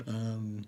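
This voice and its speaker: A man chatting casually on a live stream, his low voice strained and worn. He talks in a laid-back way, full of ums and soft laughs. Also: muffled recording. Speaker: streamer and alliance leader answering chat. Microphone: earbuds.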